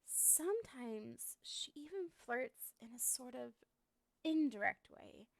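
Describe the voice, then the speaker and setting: A woman playing a coy lovestruck girl, high-voiced. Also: animated, takes it slowly, gravelly, dry recording, full character voice. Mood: lovesick, exasperated. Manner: coy, rambling, hesitant, with pointed emphasis. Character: lovestruck young woman nervously hinting a confession, obsessive. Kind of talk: ASMR roleplay addressed to the listener.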